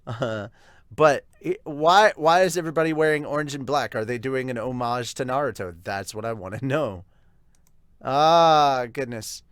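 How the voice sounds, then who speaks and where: Excitable man reviewing a manga chapter. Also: medium pitch, moderate pace, smooth, no echo. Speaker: podcast host. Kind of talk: manga read-along and review.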